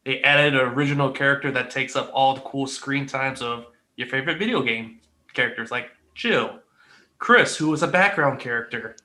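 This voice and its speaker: A man's voice: medium pitch, moderate pace, bright, forceful tone. A man chatting casually as a panelist, blunt, salty and sarcastic. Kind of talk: casual opinionated chat.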